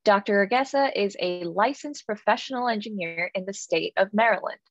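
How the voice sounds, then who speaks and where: A woman's voice: medium pitch, a little fast, dry recording. Polished woman moderating over dull audio. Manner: polished, scripted and formal. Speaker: webinar moderator. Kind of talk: reading panelist introductions. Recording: dull, dry webinar audio.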